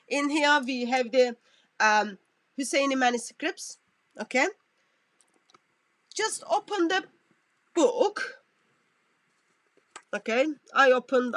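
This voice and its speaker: A woman commentator, not a native English speaker, talking through a clip in halting, self-correcting phrases. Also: a little high, a bit bright, no echo. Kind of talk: reaction commentary on a played clip.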